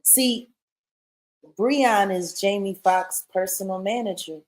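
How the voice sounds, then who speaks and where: Female guest, average pitch, conversational interview delivery, pointed, emphatic phrasing. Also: slow pace.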